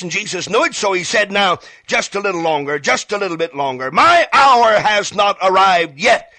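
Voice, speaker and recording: A man's voice: high voice, bright, forceful tone, no echo. A man preaching a fervent evangelistic radio sermon, emphatic and repetitive, speaking straight to the listener. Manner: emphatic, repeats phrases, addresses the listener directly.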